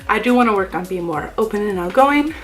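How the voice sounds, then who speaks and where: A woman's voice: medium pitch, expressive, moderate pace, a bit bright, faint music behind, poor audio. A young woman YouTuber chatting casually in a vlog, rambling and thinking aloud about herself. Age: young adult. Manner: rambling and self-reflective.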